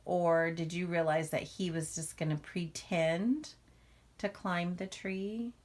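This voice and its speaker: A woman's voice: lowish voice, steady tone, slow. A woman giving a children's read-aloud lesson as a teacher, explaining things informally.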